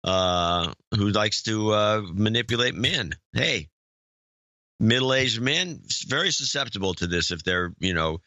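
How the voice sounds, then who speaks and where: Opinionated guy ranting on a podcast, crisp bright tone, low voice. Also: lively intonation, unhurried, no room sound, dull audio. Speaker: podcast co-host. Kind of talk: casual chat.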